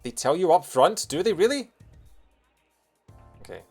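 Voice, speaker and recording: A man from Lanarkshire with a soft Scottish accent, doing casual, jokey live gameplay commentary as a streamer. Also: sits high, unhurried, husky. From Bothwell, Lanarkshire, Scotland. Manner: jokey, punning, animated when reacting to the game. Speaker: video game streamer. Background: game music.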